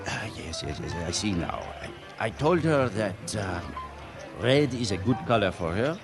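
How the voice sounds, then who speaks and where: Flirtatious Frenchman, French accent, low register, very animated delivery, courtly radio-drama charm over music. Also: normal speed. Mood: indignant, defensive. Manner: suave, courtly, theatrical. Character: charming, flirtatious Frenchman hosting at a ski lodge.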